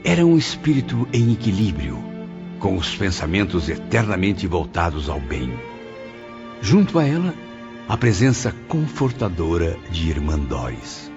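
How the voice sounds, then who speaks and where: Muffled audio drama with loud music: a low Brazilian male voice , an actor's, playing a solemn spirit narrator. He is moved by what he recounts and swings expressively in pitch. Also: big pitch swings, measured pace, full character voice. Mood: solemn wonder.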